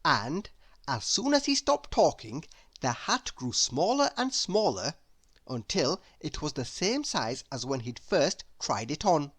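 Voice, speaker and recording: A woman narrating a children's story aloud in an expressive storytelling style. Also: sits low, unhurried.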